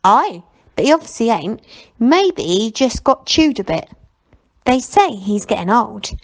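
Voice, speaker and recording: A woman's voice: fairly high pitch, sing-song, unhurried, full character voice. A woman voicing awestruck, streetwise fairground children in a storybook, with breathless slangy dialect.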